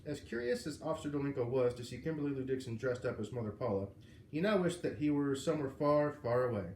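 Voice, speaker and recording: Male narrator, medium pitch, storybook read-aloud, roomy echo, faint background noise. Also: expressive, quick pace, a little mellow. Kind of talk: reading a children's novel aloud. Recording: roomy, echoing, noisy home recording.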